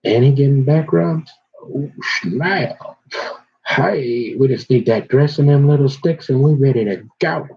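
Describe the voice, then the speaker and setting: A man's voice: mid-range voice, unhurried, vocal fry. A man in a rambling, casual monologue, talking straight to his viewers and restarting his sentences often. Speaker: vlogger. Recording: dull lo-fi audio.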